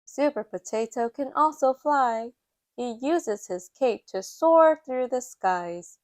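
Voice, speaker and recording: A woman reading a children's picture book aloud with a measured storytelling delivery. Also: sits high, no echo, studio quality. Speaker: storytime reader.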